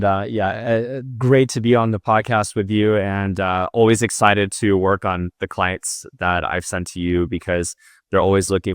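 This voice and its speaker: A Latvian-born man with a plain American accent, a guest wealth planner talking easily in a podcast interview and often pausing on "uh". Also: deep voice, no echo, studio quality. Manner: easygoing, many "uh" fillers.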